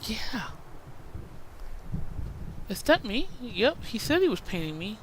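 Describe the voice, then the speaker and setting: A woman's voice: on the high side, sing-song, slow pace, background noise. A woman hosting a gaming video with casual commentary, chatty and full of teasing asides to the game characters. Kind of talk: casual let's-play commentary.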